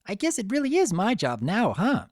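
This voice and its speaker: A man voicing a bubbly, excitable young female cartoon pony in a gushing, playful, sing-song way. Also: sits high, normal speed, dry recording, studio quality, voice pushed up. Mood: happy, playful.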